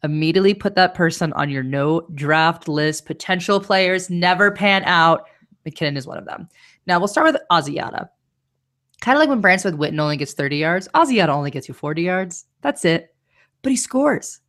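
A woman's voice: lowish voice, animated, moderate pace, fairly bright tone. A woman hosting a fantasy football podcast in casual chat, giving confident, firm advice.

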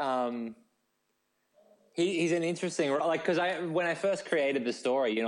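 A man chatting casually as an interview guest, thinking aloud and padding his sentences with "like" and "you know". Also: mid-range voice. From Australia. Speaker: guest, novelist.

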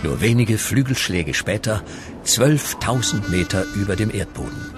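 A man's voice: fairly low pitch, expressive, unhurried, over music. A man narrating a comedic radio play from a script.

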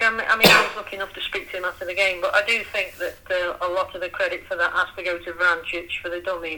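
Woman co-host, sharp bright tone, dry and lively. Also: fairly low pitch, low-quality recording. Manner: lively and articulate, with dry humour. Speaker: podcast co-host. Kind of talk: casual fan podcast chat.

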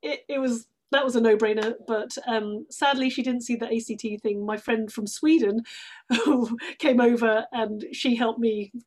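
A woman's voice: on the high side, a little slow, darkish tone. A British woman talking reflectively in a conversational interview as a guest actress, with an easy laugh. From London, UK. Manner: laughs easily while talking.

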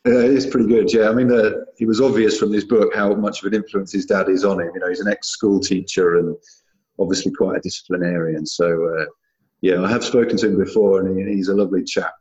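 A man's voice: sits low, fairly even intonation, brisk, a little mellow, roomy sound, lo-fi sound. A British man talking squash in a casual chat, rambling with many fillers and restarts. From Britain.

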